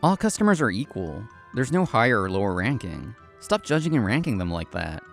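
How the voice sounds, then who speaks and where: Earnest young male café manager narrating a manga story, played by a man; lively intonation, gravelly edge. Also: on the low side, a little fast, over music. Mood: polite, firm. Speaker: narrator and lead actor of a manga story video. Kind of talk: scripted first-person narration.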